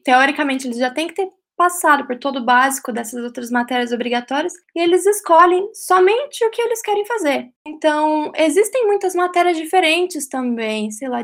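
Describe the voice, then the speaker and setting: A young woman talks in a high, bright voice. She explains things at length in an easy, chatty way, often checking in with tag questions. She sounds like a guest in a relaxed interview. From Brazil. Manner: chatty, explains at length with frequent "né?" and light laughs. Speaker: guest, professional ballerina living in England. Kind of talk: casual interview answers.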